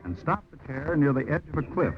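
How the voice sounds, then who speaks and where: A man's voice: a little high, big pitch swings, brisk. A man announcing and narrating a scripted radio drama in 1940s style. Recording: dull old radio recording.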